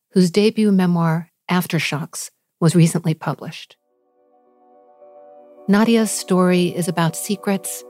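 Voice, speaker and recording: Podcast host, female, mellow low voice, measured and reflective delivery. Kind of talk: scripted intro then interview questions.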